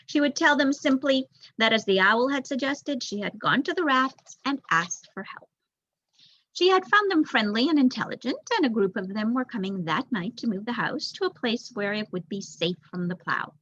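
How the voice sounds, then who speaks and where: A woman's voice: fairly high pitch, expressive, moderate pace, fairly bright tone. A young adult woman reading a children's novel aloud as a storyteller, on a dull recording. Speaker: volunteer story reader, student.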